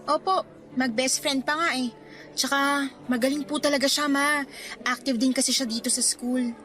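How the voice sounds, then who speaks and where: A teenage daughter with a high voice and a Filipino accent. She is dutiful and polite but sounds defensive and a little sulky, in radio drama style over music. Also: fairly even intonation, normal speed, fairly bright tone. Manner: polite, defensive, subdued sulking. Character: dutiful teenage schoolgirl daughter, quietly resentful.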